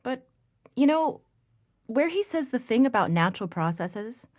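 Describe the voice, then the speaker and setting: A woman's voice: on the high side, very animated, a little slow. An actress playing a frank, analytical female researcher with a candid, conversational, probing way of talking. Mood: skeptical, candid. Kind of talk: audio drama conversation.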